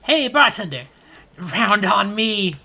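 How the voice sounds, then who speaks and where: A woman voicing an excitable, nervous, friendly young aquatic man in a tabletop game. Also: medium pitch, normal speed, voice pushed up. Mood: excited, gushing. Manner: eager, gushing.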